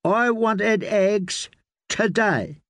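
A man's voice: high-pitched, fairly bright tone, no room sound, studio quality, pitched above the performer's own voice, heavily acted. A man voicing a sulky, sickly little boy lord who whines and draws out his complaints. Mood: whining. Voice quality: whiny, drawn-out complaining.

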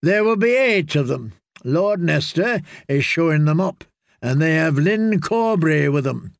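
A man voicing a smooth, wry, scheming male lord protector. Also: a little high, animated, unhurried, no echo, clean studio sound.

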